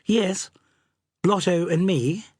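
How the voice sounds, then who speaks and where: Man doing a crisp posh young lady, pitched up, cool and clever. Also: takes it slowly, a little mellow, dry recording, studio quality, exaggerated voice. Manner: crisp, poised, upper-class. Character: cool clever young upper-class English woman.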